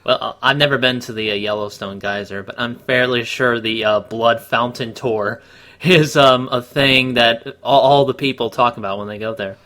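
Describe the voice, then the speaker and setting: A man's voice: mid-range voice, moderate pace, bright, forceful tone. A man acting as co-host and heckler, casually riffing with sarcastic, exasperated interjections.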